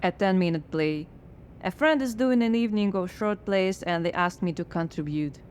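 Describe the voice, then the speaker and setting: An actress playing a blocked woman playwright who answers with wry, deadpan sarcasm in a radio drama. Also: fairly low pitch, normal speed, slight background noise, lightly acted. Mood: sardonic. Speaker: writer.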